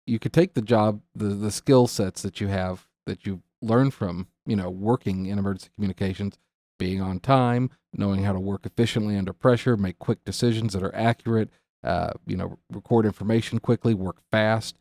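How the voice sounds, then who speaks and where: American male podcast co-host, fairly low voice, dry close sound, casual and tentative in short phrases. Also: fairly even intonation, moderate pace, vocal fry, darkish tone, no echo. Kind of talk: casual discussion.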